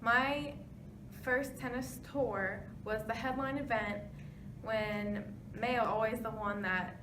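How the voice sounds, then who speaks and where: Casting: woman acting as 1920s women's tennis star, highish voice, scripted interview answers, noisy distant recording. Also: fairly even intonation, slow pace. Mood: matter-of-fact. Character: pioneering 1920s female tennis champion being interviewed. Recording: noisy, echoey room, distant mic.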